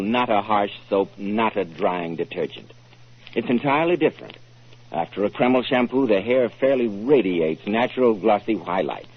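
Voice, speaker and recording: A man's voice: lowish voice, breathy edge. An American man announcing on 1940s radio with a polished, emphatic sales delivery. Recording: muffled 1940s radio recording.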